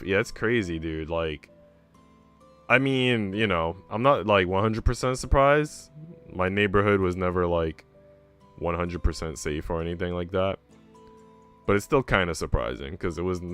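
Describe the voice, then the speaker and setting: A man's voice: low-pitched, a bit bright, clean tone. A man streaming, chatting in a slangy, rambling way between bits of a visual novel he is reading. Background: game music.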